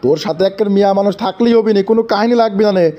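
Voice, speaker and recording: A man's voice: sits high, quick pace, dull audio, close to an everyday voice. A man with a Bangladeshi accent voicing a comic cartoon forest guru, preachy and exasperated, chiding and repeating his commands. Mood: bossy advising. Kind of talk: exaggerated comic cartoon acting.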